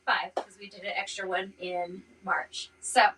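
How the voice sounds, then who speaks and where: A woman hosting a live music session in an informal, playful, excited way, chatting casually to guests and viewers. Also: medium pitch, a little slow. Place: small container home with select audience. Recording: distant mic with faint room noise.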